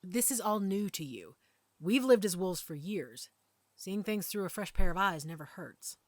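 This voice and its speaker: A woman playing a calm, protective werewolf mother who leads her pack in an audio drama, speaking with authority. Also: fairly low pitch, normal speed, no room sound. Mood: calm, commanding. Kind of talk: audio roleplay acting.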